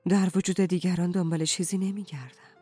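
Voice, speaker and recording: A woman voicing a middle-aged wife in a novel, soft, measured and quietly resolute. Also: lowish voice, lively intonation, slightly rough, dry recording, faint music behind. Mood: subdued.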